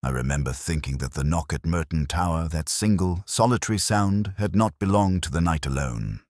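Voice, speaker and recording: A man narrating a scripted first-person detective story in a solemn, measured, literary manner. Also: sits low, animated, a little mellow, no echo, clean studio sound.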